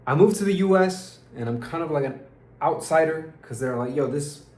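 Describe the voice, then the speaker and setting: A man's voice: fairly high pitch, lively intonation, normal speed, a little mellow, echoey room. A young man from Peru with an American accent, talking through a casual diary vlog in a rambling, slang-heavy way and sounding tired. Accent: American English. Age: young adult. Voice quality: rambling, restarts often, uses slang like dude and bro. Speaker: vlogger.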